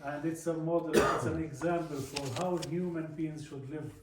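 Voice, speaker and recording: A Palestinian man in his forties with an Arabic accent, a native Arabic speaker, giving an informal personal talk in a halting way with repeated words. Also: fairly high pitch, steady tone, a crowd in the background. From Palestine, West Bank. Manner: halting, often repeats words and adds "you know". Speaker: speaker from a peace organisation. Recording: very echoey room, distant mic, faint noise.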